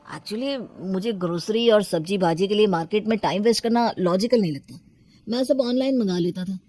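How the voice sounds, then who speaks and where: A woman's voice: medium pitch, a little fast, warm tone, lightly acted. A woman voicing a sulky young newlywed husband who feels neglected, in an audio story. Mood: aggrieved. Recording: music under the voice.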